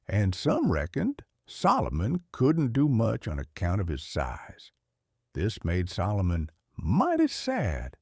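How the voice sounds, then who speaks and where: A man with a Southern US accent reading a children's storybook aloud in a folksy, expressive way. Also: deep voice, gravelly, husky, no echo, clean studio sound. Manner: folksy, animated.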